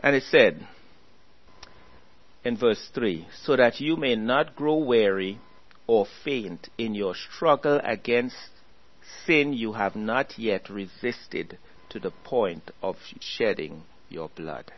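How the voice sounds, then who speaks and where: A man preaching a sermon, earnestly exhorting his listeners and reading scripture aloud slowly. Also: on the low side, lively intonation, highs cut off.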